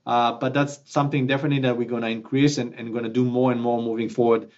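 A man, a founder answering questions in a remote interview, animated and prone to restarts. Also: mid-range voice, fast, dull audio. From Israel. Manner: animated, gestures with both hands, many restarts. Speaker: company founder guest. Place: small office at home, indoors. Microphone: webcam at desk, video call.

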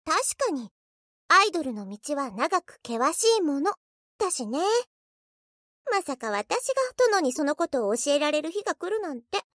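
A woman's voice: high voice, big pitch swings, normal speed, fairly bright tone, no room sound, clean studio sound. A woman playing a cheerful, proud, self-confident young idol in an anime game, with a perky, theatrical, girlish delivery. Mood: tender, grateful. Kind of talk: anime game character voice lines.

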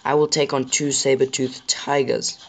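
A man with a sore throat and a slightly rough voice, chatting casually to viewers while he plays a survival game. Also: mid-range voice, little pitch movement, moderate pace. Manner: chatty, apologetic, addresses viewers directly. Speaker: gaming channel host. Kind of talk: casual gameplay commentary.

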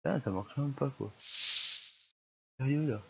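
A man's voice: mid-range voice, measured pace, vocal fry, lo-fi sound. A young man with a mild cold narrates his game in a loose, muttering way. His voice is slightly congested and he grumbles easily when things go wrong. A faint hiss sits under his home recording. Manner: grumbling, easily annoyed at lag. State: has a cold, coughing. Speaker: gamer. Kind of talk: casual gameplay commentary.

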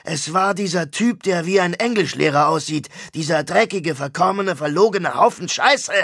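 Give me a hot-headed, vain rock star shouting indignantly, a touch higher and gravelly, as a man voices him in an audiobook. The voice sits close and dry, with no room around it. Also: unhurried, no echo, full character voice. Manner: loud, indignant, swearing. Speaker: audiobook character.

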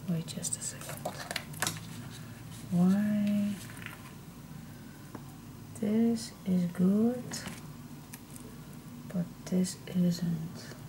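Middle-aged Dutch-accented woman for a chatty craft demo. Very unhurried, with creaky voice, on a noisy room recording. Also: on the low side. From Netherlands. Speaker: craft tutorial host. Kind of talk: casual chatty hands-on demonstration. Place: indoor craft table.

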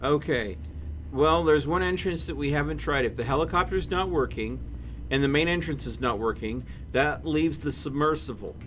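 A man's voice: a little high, normal speed, a bit bright. A man in his thirties, a game streamer chatting casually with friends in an exasperated, sarcastic, wordy way. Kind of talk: casual chat while gaming with friends. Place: indoor room at home. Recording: game vehicle noise behind voice. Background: video game vehicle and ambience noise.

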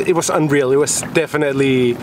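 Danish man, excited on a windy river boat. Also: medium pitch, quick pace. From Denmark. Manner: enthusiastic, laughing often. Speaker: visiting angler. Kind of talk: excited casual chat. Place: open boat on a river outdoors.

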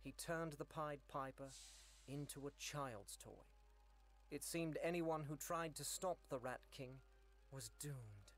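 An actor playing a solemn storybook narrator of a dark fairy tale in a game cutscene. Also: male voice, fairly high pitch, slow, heavily acted. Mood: grave. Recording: music under the voice.

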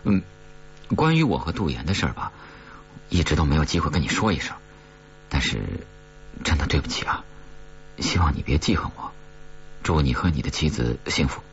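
Awkward, apologetic audiobook character played by a male narrator: halting, polite, lively intonation, slightly muffled sound. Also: very deep voice, slight background noise. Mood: sincere, apologetic. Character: awkward, earnest, apologetic man, an ex-rival.

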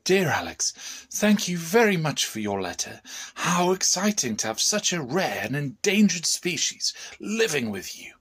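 Man playing an earnest, animated wildlife director. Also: a little high, a little slow, husky, pitched above the performer's own voice, full character voice. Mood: keen, advising. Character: earnest, excitable male animal welfare director.

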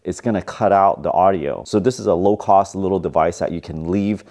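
Casting: middle-aged man, deep relaxed voice, matter-of-fact tech reviewer listing features plainly. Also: a little fast. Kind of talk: product review narration.

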